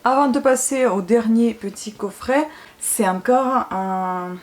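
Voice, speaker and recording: A woman's voice: on the high side, takes it slowly, a little hiss or hum. A young woman from Ukraine whose first language is Russian chats enthusiastically to her viewers while showing off her books at home. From Ukraine, former USSR. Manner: chatty and enthusiastic, addressing viewers directly. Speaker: book vlogger. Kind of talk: casual show-and-tell to viewers.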